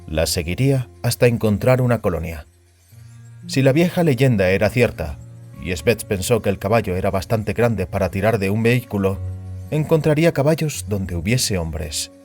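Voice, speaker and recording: A man's voice: fairly low pitch, animated, normal speed, a little mellow, faint music behind. A man reading a science fiction story aloud as a scripted audiobook narration.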